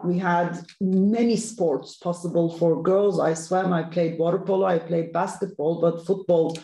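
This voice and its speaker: A woman's voice: fairly low pitch, steady tone, unhurried, gravelly, warm tone. A woman, hosting a conversational interview. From Turkey. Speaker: host, interviewer. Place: indoor room on an online webinar. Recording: echoey room sound.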